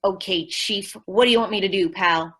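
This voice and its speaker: An actress plays a sweet, scatterbrained young secretary in radio comedy style. The voice is breathy and rough-edged at a middling pitch, with a naive, literal-minded earnestness. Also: female voice, normal speed, a bit bright, close to an everyday voice. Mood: earnest, naive. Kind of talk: old-time radio comedy acting.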